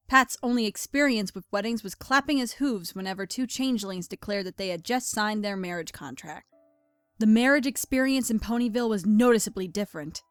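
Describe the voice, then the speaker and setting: A woman's voice: a little high, dry recording. A woman reading scripted story narration as the narrator of an audio drama.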